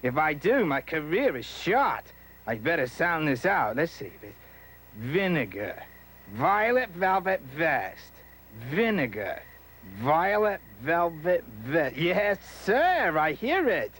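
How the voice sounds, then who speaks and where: A man's voice: a little high, very animated, a bit bright, full character voice. An actor playing a boastful but cautious villainous vandal with a folksy wise-guy manner in a children's educational show. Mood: wary. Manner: folksy streetwise wise guy, drawn-out phrasing.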